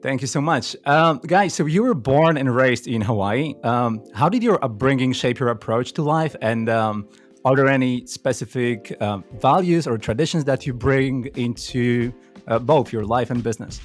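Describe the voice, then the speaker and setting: A man's voice: medium pitch, normal speed, faint music behind. A man hosting an interview podcast, asking questions eagerly and politely with frequent ums. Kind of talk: interview questions and sponsor read.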